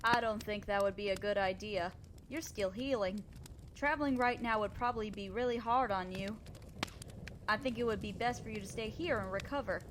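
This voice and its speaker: A woman playing a kind, selfless, self-doubting female centaur in a roleplay audio drama, speaking earnestly, gently and hesitantly to the listener. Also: mid-range voice, moderate pace, background noise, close to an everyday voice. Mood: torn, tender.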